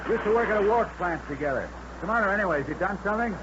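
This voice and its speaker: High-voiced, chatty American factory foreman. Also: lively intonation, racing. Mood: uneasy, helpful. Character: chatty, obliging male aircraft plant foreman, ordinary working guy. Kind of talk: 1950s radio drama acting. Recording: muffled old radio, noisy factory behind.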